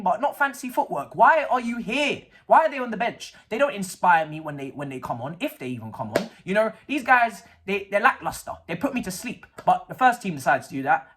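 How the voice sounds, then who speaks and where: A man vents in a casual fan rant, full of sarcasm and slang. His pitch swings widely from low grumbles to high, exasperated peaks, while his pace jumps between drawn-out lines and quick bursts. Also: a bit bright, breathy edge. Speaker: football fan vlogger. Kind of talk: casual match reaction rant.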